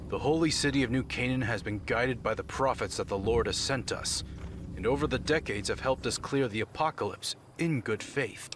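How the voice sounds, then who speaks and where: Man voicing pious game trader, sanctimonious, formal sermon-like delivery, middling pitch, light noise. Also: lightly acted. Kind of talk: video game dialogue acting.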